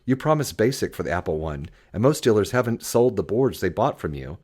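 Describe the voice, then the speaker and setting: A man's voice: on the low side, big pitch swings, a little fast, a little mellow, husky. A man reading as a blunt, practical store owner. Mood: cautioning. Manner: firm, advising.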